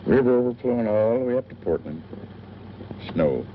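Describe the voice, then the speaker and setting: A man's voice: mid-range voice, animated, moderate pace, close to an everyday voice. An actor playing a chatty small-town newspaperman in an old film, with an American accent and a folksy, rambling manner in clipped sentences. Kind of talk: 1940s film acting. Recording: dull old film soundtrack, faint noise. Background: car engine.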